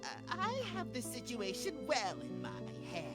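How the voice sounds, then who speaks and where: A woman's voice: sits high, wildly swooping pitch, moderate pace, over music. A woman voicing a nervous, bumbling male prosecutor in a courtroom video game, stammering and flustered. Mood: nervous reassurance. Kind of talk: amateur game voice acting.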